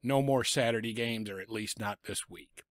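A middle-aged man with a raspy voice from a lingering cough, giving blunt, sarcastic football picks from a small home room on a desk microphone. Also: on the low side, moderate pace, dry recording, clean studio sound. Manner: blunt, sarcastic about teams and coaches. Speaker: sports picks vlogger. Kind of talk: casual football picks commentary. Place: small home room full of sports memorabilia. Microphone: desk microphone in front of him.

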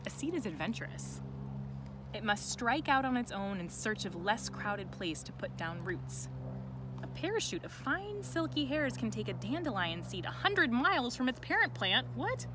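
A woman reading a picture book to children, expressive and deliberate, with chatty asides. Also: fairly high pitch. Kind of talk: read-aloud with chatty asides. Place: outdoors in a sunny farm garden. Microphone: camera held by another person nearby. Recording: outdoor ambient noise.

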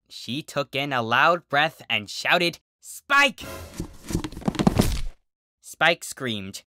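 A narrator reading a story aloud in an animated, expressive way. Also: medium pitch, dry recording, clean studio sound. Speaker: fan fiction reader.

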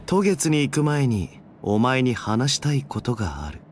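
A cynical young assassin from a video game. He talks in a slangy, teasing drawl with lively swings in pitch at a middling height. Music plays clearly behind him. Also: unhurried. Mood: casual, evasive. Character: flippant, cynical young male assassin hiding guilt. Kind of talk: Japanese video game voice acting.

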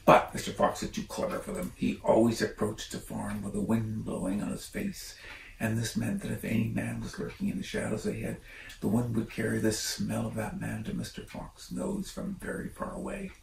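An older man reads a children's book aloud in a casual, unhurried way, breaking off for chatty asides. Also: fairly low pitch, vocal fry. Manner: informal, unhurried, commenting as he reads. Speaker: storyteller reading a children's book. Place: living room by a stone fireplace. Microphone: camera a few feet away. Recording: roomy, distant mic, faint noise.

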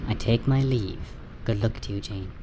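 An actor playing a calm, cryptic spirit messenger in a radio play, measured, terse and detached. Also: mid-range voice, very noisy, close to an everyday voice.

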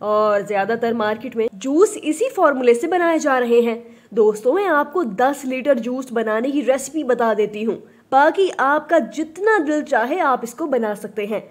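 Woman, high voice, scripted how-to narrator with an upbeat, instructive read. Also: lively intonation, normal speed. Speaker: business video narrator.

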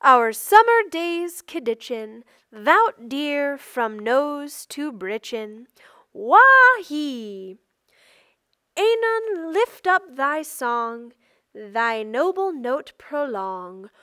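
A woman voicing a playful poet who recites a silly storybook verse in a loud, theatrical way. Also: sits high, sing-song, drawn-out words, no echo, heavily acted. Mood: playful, exuberant.

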